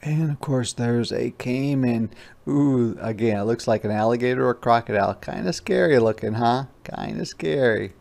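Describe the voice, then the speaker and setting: A man's voice: mid-range voice, moderate pace, gravelly, darkish tone. A man reading a picture book aloud as a teacher, explaining things simply and conversationally for children. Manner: conversational, repeats phrases.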